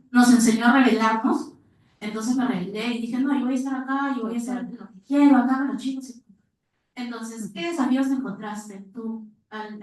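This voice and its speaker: A woman's voice: fairly high pitch, darkish tone. A woman with a Peruvian accent speaking informally from the audience at a conference, telling a story and asking a question. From Peru. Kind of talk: spontaneous anecdote and question to panelists. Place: reverberant indoor conference room. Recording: very echoey room, distant microphone.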